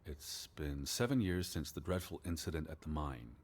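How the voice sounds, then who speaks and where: An actor playing a weary, hesitant American naturalist of the 1920s in a video game, speaking in a halting, subdued way. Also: male voice, lively intonation. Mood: hesitant, somber. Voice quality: low, husky.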